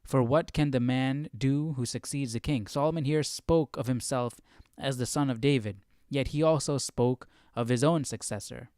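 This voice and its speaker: A man's voice: mid-range voice, normal speed, hardly any pauses, darkish tone, no echo, clean studio sound. A man hosting a livestream study, reading and commenting aloud in a casual, conversational way, often checking in with the listener. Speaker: host of a livestream Bible study.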